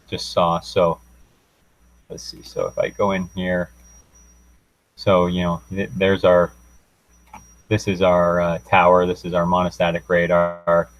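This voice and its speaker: A man's voice: sits low, low-quality recording. A young man giving an informal student technical presentation, talking through a demo in a hesitant, unscripted way. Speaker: student presenting a class project.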